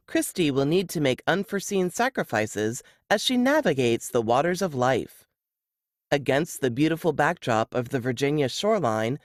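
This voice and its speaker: A woman reading a scripted book blurb in a measured, dramatic storytelling manner. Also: low-pitched, dry recording, studio quality.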